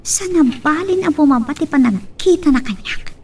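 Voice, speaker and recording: A woman's voice: high voice, expressive, normal speed, a little mellow. A woman with an Ilocano Filipino accent acting a scheming, lovelorn young woman in an emotional romance drama. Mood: bitter scheming. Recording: distant mic with faint noise.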